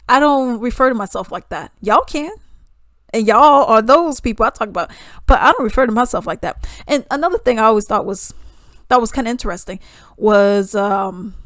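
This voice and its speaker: A woman talking casually about a fight, animated, jumping between loud emphasis and hushed asides. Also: on the high side, moderate pace, no echo, clean studio sound. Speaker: YouTube commentator. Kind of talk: casual commentary reading news and comments.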